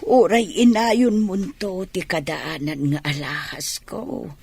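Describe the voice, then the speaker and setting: A woman playing a gentle, supportive middle-aged village mother in a radio drama, with a husky voice. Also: on the low side, slow, full character voice. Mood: tender, supportive. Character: gentle, supportive village mother who saves for her child.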